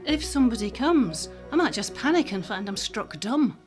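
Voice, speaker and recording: Female shop worker played by a woman, dry and teasing, slightly high lively voice, audio drama, faint music underneath. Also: normal speed. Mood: coaxing.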